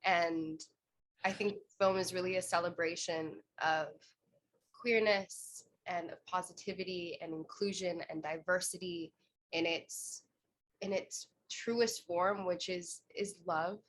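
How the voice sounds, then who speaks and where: A woman in the film's cast answering a fan's question on a video panel in an earnest, thoughtful way, pausing to find her words. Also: on the low side, fairly even intonation. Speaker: cast member.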